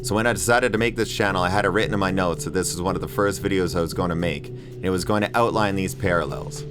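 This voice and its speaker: A man with a low voice hosts a scripted comedic video essay over clear guitar music. He is sarcastic and irreverent, with crude jokes worked into the narration. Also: quick pace. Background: background music with guitar.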